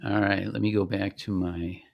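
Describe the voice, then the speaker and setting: A man explaining software step by step in a conversational, informal product demonstration. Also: deep voice, flat delivery, moderate pace, gravelly, muffled recording.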